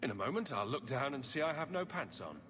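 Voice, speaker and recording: An actor playing a roguish, wry and defiant fighter in a fantasy video game. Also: male voice, mid-range voice, big pitch swings, fast talker, a bit bright, slight background noise. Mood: deadpan joking. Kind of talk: video game cutscene acting.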